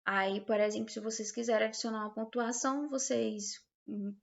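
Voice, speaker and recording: Female tutorial instructor, Brazilian accent, higher-pitched, hesitant and explanatory, informal delivery, muffled low-fidelity audio. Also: steady tone, brisk, creaky. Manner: hesitant, explanatory, checks understanding with short tags. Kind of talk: informal software tutorial, screen demonstration.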